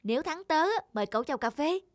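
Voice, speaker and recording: A woman's voice: high voice, lively intonation, fast, no echo, clean studio sound. A woman voicing a sly, pushy teenage boy gamer in a cartoon, cajoling and cocky. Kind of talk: Vietnamese cartoon dubbing.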